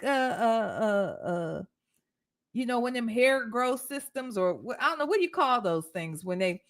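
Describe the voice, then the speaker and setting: A woman's voice: mid-range voice, pushed and bright. An American woman hosting a casual live reaction show, blunt, sassy and profane, with emphatic rhetorical questions. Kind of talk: casual live commentary with chat.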